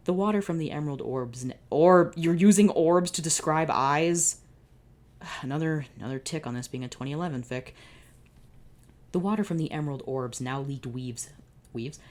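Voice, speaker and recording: A woman reading fanfiction aloud in a deadpan narration, breaking off for exasperated sarcastic asides. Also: low-pitched, normal speed. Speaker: fanfiction reader.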